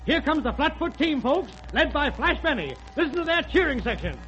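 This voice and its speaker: An excitable football commentator in a very high-pitched voice. The 1930s radio comedy recording is muffled and noisy. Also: male voice, brisk, heavily acted. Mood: excited. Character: excitable football radio announcer calling the game.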